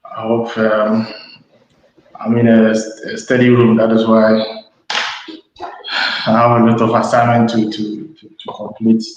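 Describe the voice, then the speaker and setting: Slow-spoken young Ghanaian man, very echoey. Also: lowish voice, completely flat delivery, a little hiss or hum. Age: young adult. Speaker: webinar guest, PhD student. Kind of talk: informal interview, introducing himself. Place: study room, online call. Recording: echoey room, muffled online call audio.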